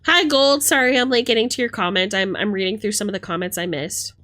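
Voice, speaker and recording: A woman's voice: medium pitch, fast, sharp tone, faint music behind. A woman hosting a livestream, talking casually and emphatically with plenty of swearing as she rants and chats with commenters. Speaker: livestream host.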